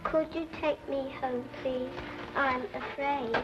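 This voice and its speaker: A woman playing a lost, frightened, polite little girl in an old radio drama, speaking in a halting, careful way. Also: high-pitched, fairly even intonation. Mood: timid, pleading. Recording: dull old radio recording with music.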